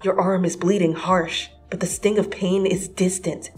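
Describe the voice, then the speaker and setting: Expressive woman reading a story. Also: lowish voice, moderate pace, faint music behind. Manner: expressive, swinging from hushed whispers to breathless excited rises. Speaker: fanfiction reader. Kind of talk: dramatic second-person story reading.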